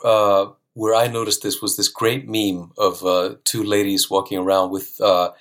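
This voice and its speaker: Laid-back man, slightly low voice. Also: little pitch movement, moderate pace, fairly bright tone. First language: Icelandic. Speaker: guest, language teacher. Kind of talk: casual interview chat.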